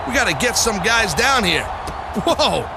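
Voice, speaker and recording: Excited ringside commentator, super high, urgent, loud crowd and music behind, video game. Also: male voice, unhurried, creaky, exaggerated voice, rough recording. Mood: alarmed. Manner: urgent, exclaiming. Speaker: wrestling commentator.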